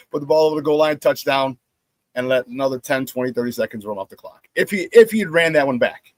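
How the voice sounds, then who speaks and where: Hoarse middle-aged man, dry close sound. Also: medium pitch, fairly quick, no echo. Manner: animated storyteller who repeats phrases and laughs at his own stories. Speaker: sports talk show host. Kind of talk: casual sports talk monologue.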